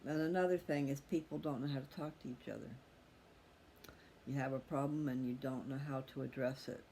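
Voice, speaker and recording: A man's voice: medium pitch, fairly even intonation, brisk, a little mellow, low-quality recording. A middle-aged man talking casually and candidly in a rambling, reflective personal monologue, addressing his listeners directly. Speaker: host of a personal video channel. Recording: clearly audible background noise.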